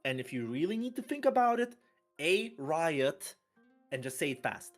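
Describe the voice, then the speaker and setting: A man doing play-by-play commentary on a fighting game, excitable and bursting loud at big plays. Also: a little high, normal speed, soft background music. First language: not French. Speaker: esports commentator.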